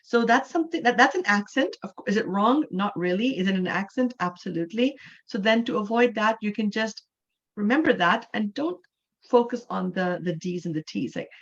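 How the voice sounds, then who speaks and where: A woman in her forties from Canada teaching an English class online. She explains patiently, slowing down and repeating key words for emphasis. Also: medium pitch, animated, dull audio.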